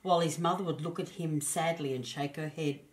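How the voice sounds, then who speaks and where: A woman narrating a children's storybook as an expressive storyteller. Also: low voice, brisk.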